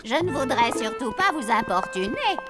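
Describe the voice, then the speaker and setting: A woman's voice: a little high, big pitch swings, normal speed, loud music behind the voice. A woman voicing a prim, courteous elderly lady who speaks formally and politely.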